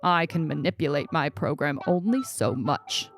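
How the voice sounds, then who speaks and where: A woman's voice: low voice, normal speed, faint music behind, natural voice. A woman voicing a grumpy, weary old male author in a story, acerbic yet grandfatherly and pleading.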